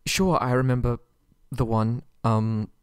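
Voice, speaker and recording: Captive young prince, played by a man for ASMR roleplay: meek, courteous, pleading, slow stammered speech, dry close sound. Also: medium pitch, steady tone, clean tone, no room sound, natural voice. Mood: nervous, apologetic. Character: nervous, submissive, polite young prince held captive. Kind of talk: ASMR roleplay audio drama.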